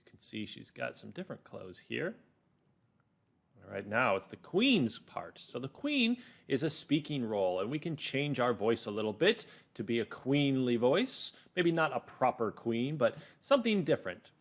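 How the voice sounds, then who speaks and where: A man's voice: mid-range voice, very animated, moderate pace, gravelly. A man leading a read-along lesson for children, explaining things simply and expressively.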